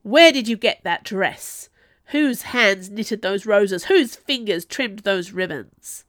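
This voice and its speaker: A woman voicing a mad young nobleman in a storybook, hoarse and forceful with rage. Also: medium pitch, animated, a little slow, no room sound, studio quality. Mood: frenzied accusing. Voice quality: voice breaking hoarsely. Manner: forceful, screaming accusations.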